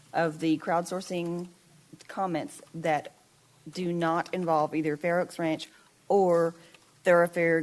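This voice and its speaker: A woman presenting a list of projects to a committee in a measured, explanatory way. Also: sits low. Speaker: staff presenter. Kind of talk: meeting presentation.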